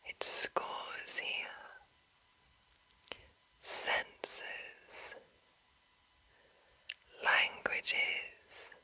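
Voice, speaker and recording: A woman whispering an ASMR reading of a game manual in a breathy, unvoiced voice, deliberate, with pauses between short phrases. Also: bright, forceful tone, rough recording. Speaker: ASMR channel host.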